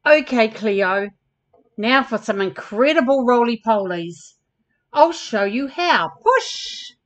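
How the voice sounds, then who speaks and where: A woman's voice: on the high side, animated, takes it slowly, lo-fi sound. A woman voicing an earnest, insecure male camel with big plans in a children's storybook, plaintive and eager.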